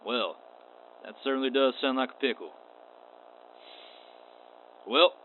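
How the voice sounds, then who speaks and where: A man's voice: mid-range voice, slow pace, natural voice. A man playing a courteous, unflappable wandering space cowboy in a radio play, with a laconic drawl. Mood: wry, agreeable. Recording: tinny band-limited audio with clear noise.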